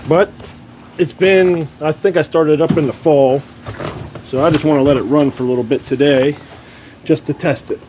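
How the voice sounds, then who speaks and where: A man's voice: a little high, fairly even intonation, moderate pace, pauses often, background noise. A man who is losing his voice, chatting casually about his farm and woodstove, laughing between remarks. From Ohio. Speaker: homestead vlogger. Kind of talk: casual vlog walkthrough. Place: farm outdoors and basement.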